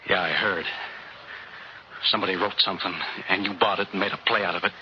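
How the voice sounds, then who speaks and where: A man's voice: low-pitched, fairly even intonation, fast talker, lightly acted. An actor playing a plain-spoken, morally indignant working man in a 1960s radio drama, speaking in earnest, colloquial phrases. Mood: indignant, accusing. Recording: muffled, hissy old radio recording.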